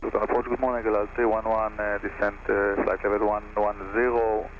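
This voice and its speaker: A man's voice: on the low side, little pitch movement, fairly quick. A man making clipped calls over aviation radio. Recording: muffled radio with faint hum and noise.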